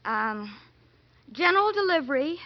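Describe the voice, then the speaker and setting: A young-at-heart, chatty female secretary from a detective radio play of the 1940s. Her voice sits high, and she gushes coyly and gets flustered easily. The old recording is dull and lo-fi. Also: big pitch swings, measured pace. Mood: gushing, flustered. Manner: gushing, coy, easily flustered. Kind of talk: 1940s radio drama acting.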